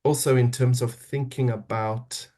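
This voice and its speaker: A man's voice: medium pitch, fairly even intonation, measured pace, warm tone. A middle-aged man from South Africa with a South African accent, a podcast guest speaking reflectively and often restarting as he searches for words. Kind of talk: reflective interview talk.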